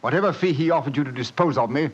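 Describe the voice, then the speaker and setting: An agitated, highish-voiced desperate client. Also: male voice, rapid-fire, husky. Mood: desperate, pleading. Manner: insistent, agitated. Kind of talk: 1940s radio drama acting. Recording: muffled old radio broadcast.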